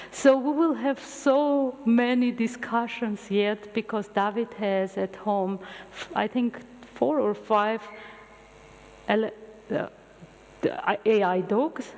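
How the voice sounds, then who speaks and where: A middle-aged woman whose first language is Armenian gives an open university lecture in English, telling stories with halting restarts. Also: a little high. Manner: animated storytelling with halting restarts. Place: large lecture hall. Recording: long hall reverb.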